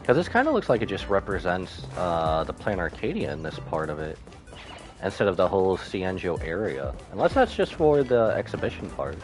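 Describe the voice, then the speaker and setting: A man's voice: low voice, wildly swooping pitch, moderate pace. A man giving casual live commentary as he streams a video game. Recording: game music behind the voice.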